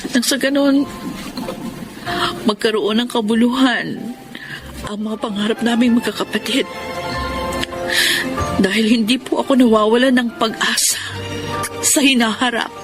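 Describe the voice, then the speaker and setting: An actress playing a grieving, dutiful eldest daughter in a Filipino radio melodrama, speaking in an earnest, measured way with a Filipino accent. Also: female voice, a little high, steady tone, natural voice. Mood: grateful, hopeful. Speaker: lead actress and narrator in a radio drama. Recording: music under the voice.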